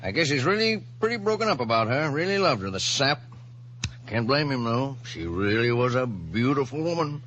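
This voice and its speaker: A man's voice: medium pitch, very animated, moderate pace, fairly bright tone, lo-fi sound, a little hiss or hum. A man playing a plain-spoken, cynical sheriff with a folksy, wry manner in 1940s radio drama. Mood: wry, puzzled. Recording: faintly humming old radio recording.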